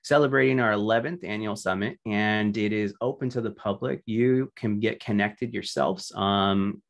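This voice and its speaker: A man hosting a virtual meeting, making announcements in a conversational way with many fillers, heard over a video call. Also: lowish voice. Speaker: meeting host.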